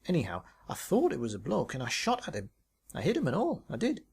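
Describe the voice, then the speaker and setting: A man's voice: on the high side, wildly swooping pitch, brisk, vocal fry, darkish tone, slightly rough, full character voice. A man voicing a chatty, naive young woman with a colloquial, exclamatory way of talking. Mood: chatty, excited. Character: chatty, giggly young woman paintball player, naive and blunt.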